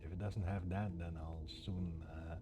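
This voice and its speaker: A man's voice: sits low, fairly even intonation, moderate pace. A man hosting a game playthrough with casual, musing commentary, often posing questions to the viewer. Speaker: game streamer and host. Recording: clear background noise, ambience. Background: ambient noise.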